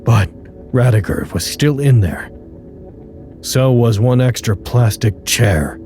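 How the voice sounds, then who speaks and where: An actor in an audio drama monologue playing a grieving, weary facility staffer, subdued, halting and confessional. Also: male voice, medium pitch, lively intonation, mellow, faint music behind. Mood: somber, grieving. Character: grieving, weary male facility staffer broadcasting a personal log.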